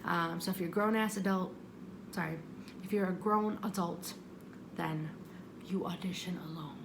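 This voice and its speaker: A woman's voice: on the low side, steady tone, normal speed, background noise. A woman giving casual, rambling advice, often interrupting herself and repeating phrases for emphasis. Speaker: vlogger giving audition advice.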